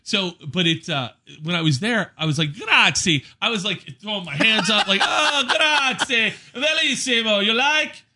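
Bright-voiced, animated male storyteller. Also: high voice, normal speed, few pauses. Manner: animated storyteller, acts out exchanges. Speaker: event DJ. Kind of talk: casual anecdotal chat.

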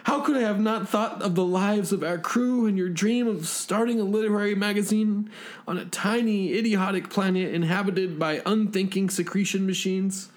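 Man as a shrill, wailing, fawning crewman. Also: fairly even intonation, moderate pace, natural voice. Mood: wailing self-blame. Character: panicky, self-abasing, fawning spaceship crewman.

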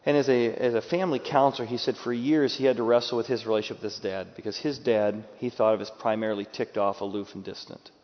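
A man preaching a sermon with dramatic delivery, acting out quoted voices and moving from loud bursts to hushed, quiet lines. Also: mid-range voice, steady tone, fast talker, hardly any pauses. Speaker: pastor. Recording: dull sound with long hall reverb.

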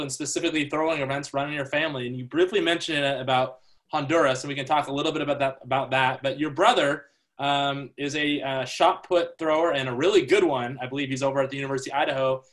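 A man's voice: fairly high pitch, fairly even intonation, quick pace, a bit bright. A man hosting a podcast interview, friendly and loose, with frequent fillers. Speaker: podcast host.

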